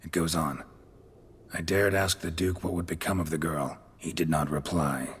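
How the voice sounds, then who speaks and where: An actor playing a gruff, stoic monster hunter in a video game cutscene, terse and deadpan, with a gravelly voice. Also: male voice, moderate pace, exaggerated voice. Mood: reading aloud, flat. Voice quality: gravelly, husky low voice.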